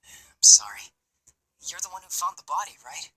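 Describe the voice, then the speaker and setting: A man voicing a nervous, soft-spoken young male college student in a visual novel game, with a breathy, hesitant delivery. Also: fairly high pitch, sing-song, moderate pace, heavily acted. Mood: quiet, uneasy. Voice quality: breathy, whispery.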